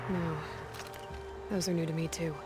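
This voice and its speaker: An actress playing a determined young outlander huntress in a video game, with a dry, direct and self-assured delivery. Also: female voice, low voice, flat delivery, moderate pace, over music, close to an everyday voice. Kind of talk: video game cutscene acting. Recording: loud ambient game sound effects.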